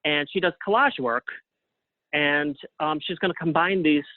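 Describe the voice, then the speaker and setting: A man speaking as an interview guest in a casual, thoughtful, halting way over a tinny phone-like connection. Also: fairly high pitch, no room sound. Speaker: interview guest, playwright and artist. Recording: narrow tinny phone-like line.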